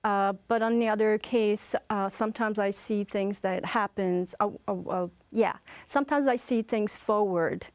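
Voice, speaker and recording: A woman's voice: medium pitch, unhurried, hardly any pauses, no echo. A woman from Hungary with a Hungarian accent, speaking as a guest in a casual interview, hesitant with many fillers and restarts.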